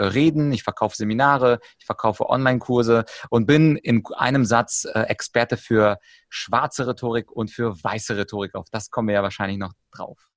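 Guy from ukraine as podcast guest, explains stuff with examples, medium pitch, really dry sound. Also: dry recording. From Ukraine, moved to Germany at ten. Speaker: podcast guest, rhetoric trainer. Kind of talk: explanatory conversational talk with examples.

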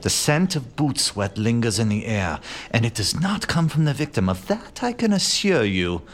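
An actor playing an aloof, self-assured master detective in a comic murder-mystery audio drama, with a haughty, theatrical, deliberate delivery and hushed musing. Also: male voice, medium pitch, very animated, close to an everyday voice. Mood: confident, grandiose.

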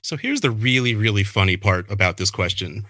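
A man in his thirties, a fantasy football podcaster, chatting casually and telling animated stories with a self-deprecating streak. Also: fairly low pitch, brisk, dry recording, studio quality.

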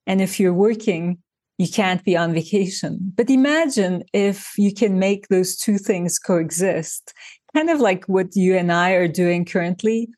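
A woman's voice: medium pitch, a little slow, a little mellow, no echo. Thoughtful woman from Turkey, dry sound. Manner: thoughtful, explains with examples, frequent restarts. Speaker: designer and author, interview guest. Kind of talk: reflective interview conversation.

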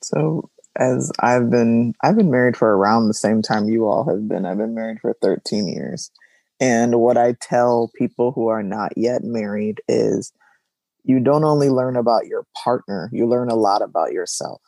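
A man in his thirties hosting a podcast, asking measured, thoughtful interview questions. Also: mid-range voice, vocal fry, dark tone, no echo, poor audio. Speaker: podcast host.

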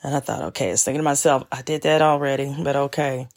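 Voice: medium pitch, flat delivery, quick pace, creaky. A podcast host telling a story in a casual monologue, laid-back and wry with frequent chuckles.

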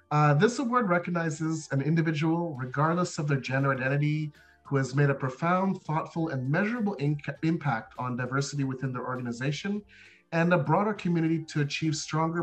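A man with a slightly high voice hosts an interview show with faint music behind him. He delivers prepared introductions formally and with enthusiasm, pausing to gather his words. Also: lively intonation. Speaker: podcast co-host. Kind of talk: scripted guest introduction.

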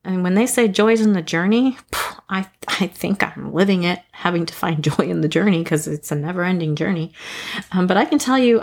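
A woman in her thirties hosting a faith podcast, talking earnestly in a reflective devotional way, with frequent ums and soft laughs. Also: fairly low pitch, vocal fry. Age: 30s.

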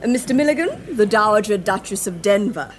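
An actress playing a cheerful society hostess in a radio drama. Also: female voice, medium pitch, big pitch swings, fairly quick, slight background noise, close to an everyday voice. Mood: polite. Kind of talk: classic radio drama acting.

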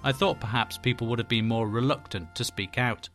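A man narrating a scripted report in a measured, wry manner. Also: medium pitch, a bit bright. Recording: faint music underneath.

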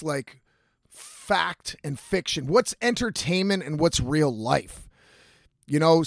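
A man's voice: on the high side, no room sound, studio quality. A man in his thirties hosting a casual, confessional solo podcast, rambling candidly and swearing freely. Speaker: podcast host, singer-songwriter.

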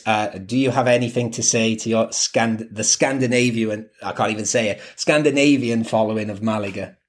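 A man hosting a casual football interview, speaking haltingly and repeating words as he goes. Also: medium pitch. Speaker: host of a football fan podcast.

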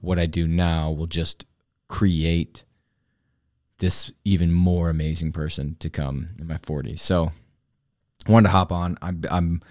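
A man's voice: low-pitched, warm tone, no echo, studio quality. A man in his forties talking on his own about turning forty, earnest and rambling, often restarting his sentences. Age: 40s. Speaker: vlogger, fitness coach. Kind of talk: reflective solo talk to camera.

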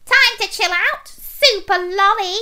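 A woman's voice: very high voice, unhurried, pushed and bright, pitched above the performer's own voice, heavily acted. A woman voicing an evil, mischievous little pea, a scheming storybook villain who shrieks and taunts. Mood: cackling menace. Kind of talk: children's storybook character voice.

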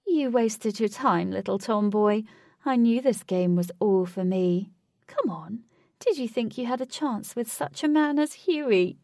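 A woman's voice: mid-range voice, unhurried, hardly any pauses, a little mellow, no room sound, studio quality, close to an everyday voice. Woman putting on a smug, taunting young female rival. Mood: smug, mocking.

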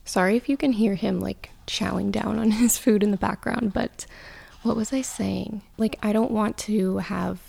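A woman's voice: mid-range voice, fairly even intonation, normal speed, creaky, a little mellow, husky. Sleepy-voiced young woman podcast host. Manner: chatty, lots of "like" fillers. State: sleepy morning voice. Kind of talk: casual solo podcast chat.

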